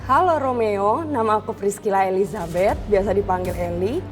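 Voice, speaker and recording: A woman's voice: medium pitch, normal speed, in a large hall, soft background music. A woman in her late twenties, a singer, answering cheekily on a dating show. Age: 20s. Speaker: contestant, singer.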